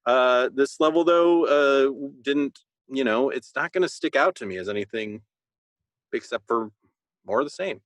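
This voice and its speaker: A man's voice: mid-range voice, moderate pace, a bit bright, no room sound. A man co-hosting a podcast, chatting casually about a video game and rephrasing as he thinks aloud. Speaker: podcast co-host.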